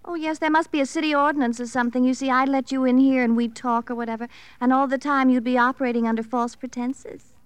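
A woman's voice: sits high, steady tone, quick pace, clean tone, dry recording, natural voice. An actress playing an affected, playfully coy young society woman, breezy and gushing, in 1950s radio drama style. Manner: breezy, theatrical, arch, gushing.

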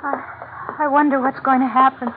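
A woman's voice: sits high, flat delivery, normal speed, natural voice. A woman playing a frightened, pleading young beauty in an old-time radio drama, stammering anxiously. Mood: worried. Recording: tinny vintage radio recording, faint noise.

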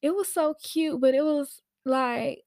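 A woman telling a personal story on a podcast in a chatty, animated, slangy way, acting out conversations as she goes. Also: high-pitched, unhurried, dry recording, clean studio sound. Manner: informal slangy speech. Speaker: podcast host and nanny.

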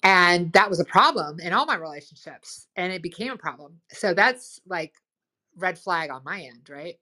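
A woman with a somewhat low voice hosts a relaxed advice conversation. She is loud and enthusiastic. She keeps checking in with her listener with "right?". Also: moderate pace, low-quality recording. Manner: lots of "like" and "right?". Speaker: host. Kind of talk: casual advice chat.

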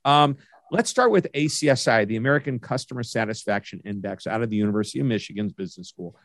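A man's voice: fairly low pitch, quick pace, no echo, clean studio sound. A man hosting an interview show, enthusiastic and upbeat as he gives announcements and introduces his guest. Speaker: podcast host.

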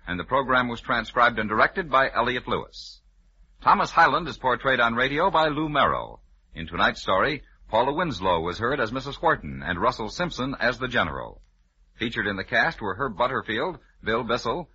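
Very bright, forceful male radio announcer. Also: mid-range voice, slightly rough. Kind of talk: 1950s radio announcing, reading credits. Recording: muffled vintage radio broadcast recording.

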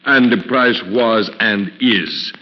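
Sinister male mystic, very low and formally courteous; old radio thriller, muffled and reverberant. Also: animated, slow pace. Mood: hard, demanding. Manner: formal, smooth-spoken, commanding. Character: sinister suave male fortune teller, menacing and falsely courteous. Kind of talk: old-time radio drama acting.

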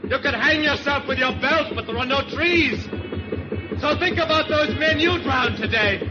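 An actor playing a clipped, bitter, vengeful English skipper with a British accent in a 1940s radio drama. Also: male voice, extremely high pitch, normal speed. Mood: grim, vengeful. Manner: clipped, grimly sardonic. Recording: dull lo-fi 1940s radio, music behind.

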